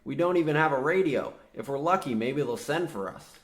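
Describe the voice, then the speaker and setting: A man's voice: fairly high pitch, lively intonation, a little fast, lightly acted. An actor playing an exasperated, practical younger man, serious and matter-of-fact, as the straight man in a comic old-time radio horror play. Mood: accusing, tense. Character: exasperated, practical younger man, war veteran trapped underground.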